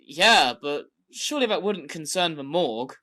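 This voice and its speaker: A woman's voice: deep voice, expressive, normal speed, a bit bright. A woman voicing a wry villager who also narrates, with dry asides, in an amateur audio drama.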